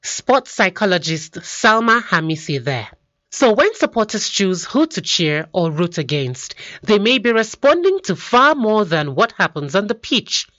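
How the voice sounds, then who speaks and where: Lively woman presenting radio news. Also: medium pitch, unhurried, no room sound, dull audio. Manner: lively, clearly paced delivery.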